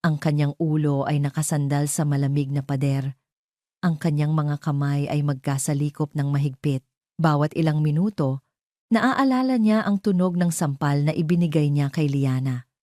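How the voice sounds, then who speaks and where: A woman's voice: deep voice, warm tone, no room sound, clean studio sound. A woman narrating a scripted drama story in a dramatic style.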